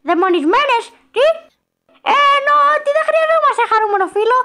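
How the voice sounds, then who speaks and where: Super high excitable little cartoon kid, really bouncy pitch, playful. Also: heavily acted. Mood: cheerful, earnest. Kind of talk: cartoon fandub acting.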